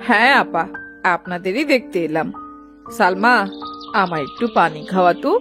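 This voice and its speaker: Actress as nosy village auntie, chatty, gossipy, lively intonation, cartoon dialogue, music behind. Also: female voice, on the low side, moderate pace, close to an everyday voice. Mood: familiar, nosy. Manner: gossipy, familiar. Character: chatty, inquisitive village aunt paying a visit.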